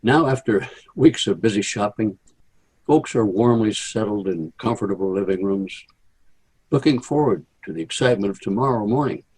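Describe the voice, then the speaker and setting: Man acting a folksy fifties radio announcer, low, very mellow, husky, friendly.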